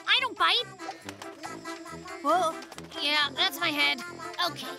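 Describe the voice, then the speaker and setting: A woman voicing a cocky, excitable young boy polar bear in a children's cartoon, boastful and easily exasperated, with lots of exclamations. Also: very high-pitched, slow, creaky, heavily acted. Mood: indignant, boastful. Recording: music bed behind the voice.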